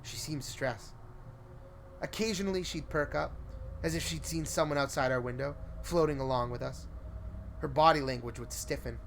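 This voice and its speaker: A man's voice: fairly high pitch, normal speed, gravelly, a little hiss or hum. A man reading a scripted first-person horror story in a suspenseful, theatrical way. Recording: prominent music under the voice. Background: music.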